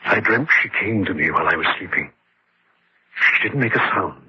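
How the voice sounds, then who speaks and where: Husky, haunted, low-voiced grieving man. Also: expressive, moderate pace, sharp tone, no room sound, full character voice. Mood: numb, haunted. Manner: haunted, confessional storytelling. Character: grieving, exhausted male narrator haunted by his wife. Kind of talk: old time radio horror drama narration. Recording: dull lo-fi old radio recording.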